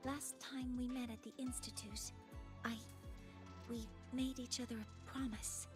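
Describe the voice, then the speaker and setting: Woman playing an earnest, high-voiced young researcher. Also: steady tone, close to an everyday voice. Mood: earnest, tender. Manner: formal, measured, with hesitant pauses. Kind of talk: dramatic game voice acting. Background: game music.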